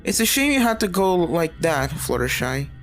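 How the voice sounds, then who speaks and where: A woman voicing a cruel, unhinged female unicorn villain with a raspy, sneering, taunting delivery. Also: fairly low pitch, sing-song, moderate pace, faint music behind. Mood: mocking.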